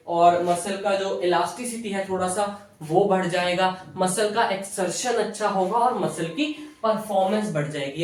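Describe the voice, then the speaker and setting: A woman with an Indian accent giving an explanatory lecture in a didactic way, posing questions and answering them herself, mixing in English technical phrases. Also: medium pitch. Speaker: physiotherapy lecturer. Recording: very echoey room, distant microphone.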